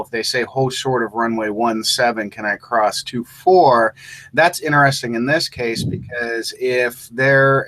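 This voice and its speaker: A man's voice: mid-range voice, fairly bright tone, rough recording. A man speaking as a co-instructor in an informal lesson, explaining things in a conversational way. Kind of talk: informal live lesson discussion.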